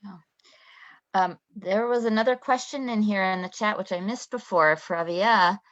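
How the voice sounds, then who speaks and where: A woman's voice: mid-range voice, normal speed, a bit bright, dry recording, lo-fi sound. A woman hosting a panel on a video call, chatty with many fillers. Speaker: event host. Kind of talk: moderating a panel.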